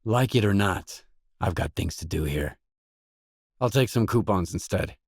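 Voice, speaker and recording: An actor voicing a gruff, guarded stalker mercenary in a video game, blunt, terse and no-nonsense. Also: male voice, deep voice, animated, unhurried, no room sound, clean studio sound, exaggerated voice. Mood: guarded, matter-of-fact. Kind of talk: video game dialogue lines.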